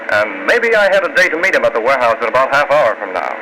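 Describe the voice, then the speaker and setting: Cool, persuasive vigilante hero, male actor, lively inflection, old noisy radio thriller. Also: medium pitch, quick pace, lightly acted. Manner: persuasive, commanding. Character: cool, crafty, commanding masked male vigilante. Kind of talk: 1940s radio acting.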